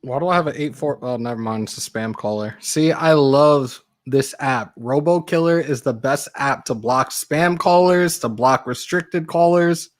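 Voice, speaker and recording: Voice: mid-range voice, normal speed, few pauses. A co-host of a live sports call-in show, bantering casually with jokes and swearing. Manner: joking, profane.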